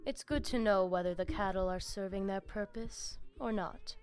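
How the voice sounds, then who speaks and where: A woman's voice: medium pitch, moderate pace, a little mellow, lightly acted. An actress playing a coldly imperious, zealous female vampire crime boss in a video game, speaking in formal, ominous, threatening phrases. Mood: cool, imperious. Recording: music behind the voice. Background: game music.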